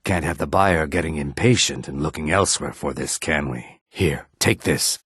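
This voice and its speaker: Low, husky, shady lizard-man fence. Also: normal speed, fairly bright tone, dry recording, clean studio sound, exaggerated voice. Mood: businesslike, reluctant. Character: shady male lizard-man fence, wary, sly, self-serving merchant. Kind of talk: scripted video game character dialogue.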